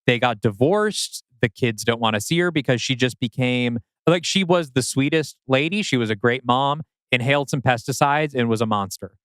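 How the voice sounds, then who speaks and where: A man's voice: medium pitch, moderate pace, few pauses, a bit bright, clean tone, no room sound. A man co-hosting a podcast in casual discussion. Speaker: podcast co-host.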